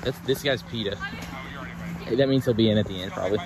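A man filming a camp game on an open field, giving chatty, excited running commentary. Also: on the low side, fairly quick, very noisy. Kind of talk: casual play-by-play commentary. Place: open grassy field outdoors. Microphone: phone camera held by the filmer.

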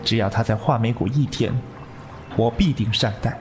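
Casting: male actor as proud young wuxia fighter, medium voice, measured and direct, devoted. Audio drama scene over clear music. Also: mid-range voice, natural voice. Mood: restrained hurt. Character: proud, devoted young martial-arts man, quietly intense.

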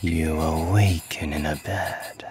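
A man narrating a scripted ASMR story in a hushed, breathy whisper with long pauses. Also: very deep voice, fairly even intonation, noisy background. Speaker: voice actor narrating an audio roleplay.

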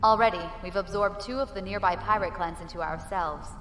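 A woman's voice: medium pitch, brisk, lightly acted. A woman playing a commanding, wary, impatient space pirate leader in an audio drama. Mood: measured, wary. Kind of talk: audio drama acting and narration. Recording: long reverb, music behind.

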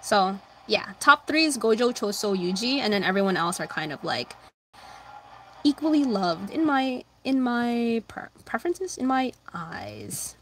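A young woman chatting casually with her viewers while drawing, answering chat and humming along, her voice slightly hoarse. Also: a little high, big pitch swings, measured pace, a bit bright, slight background noise. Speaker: livestreamer drawing anime fan art.

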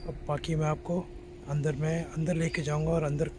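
A man's voice: fairly high pitch, moderate pace. A man in his thirties giving casual, informal vlog commentary, mixing English terms into his talk. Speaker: vlogger. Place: inside a car and outdoors cycling on a track. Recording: steady vehicle and outdoor background noise.

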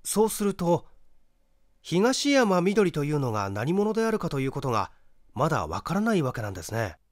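Man acting an inquisitive amateur detective, higher lively voice, probing questions, storybook mystery, dry sound. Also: brisk, no echo. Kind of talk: dramatic story reading.

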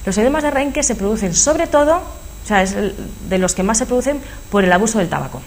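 A woman's voice: mid-range voice, lively intonation, fast talker, a little hiss or hum. A woman with a Spanish accent, a speech therapist guest in a TV studio interview, explaining animatedly with many fillers. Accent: Spanish from Spain. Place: local TV studio.

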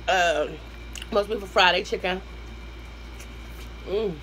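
Hoarse Southern woman, 30s, home eating-show host, chatty and warm with viewers, faint background noise. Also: lowish voice, takes it slowly. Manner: chatty, addresses viewers as y'all, hums while eating. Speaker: mukbang host. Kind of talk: casual eating-show chat. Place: small room at home, indoors.

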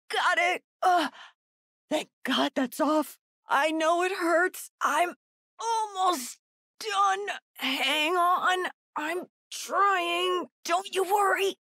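An actress playing a frightened young woman on the run in a horror video game, breathless and gasping, with halting pleas. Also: very high voice, fairly bright tone, no echo, studio quality, exaggerated voice. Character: frightened young woman hiding and fleeing from killers.

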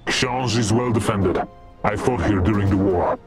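An actor playing a brooding, driven war veteran in a video game, grave and determined. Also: male voice, lowish voice, normal speed, over loud music, lightly acted. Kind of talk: video game cutscene acting.

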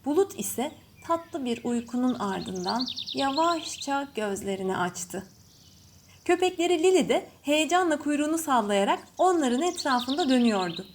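A woman storyteller reading a scripted children's bedtime story in measured phrases. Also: high voice, lively intonation. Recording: faint background noise with birdsong. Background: birds chirping.

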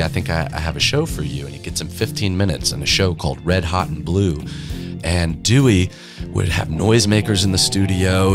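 A man being interviewed, casually telling stories as a music history guide. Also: low-pitched.

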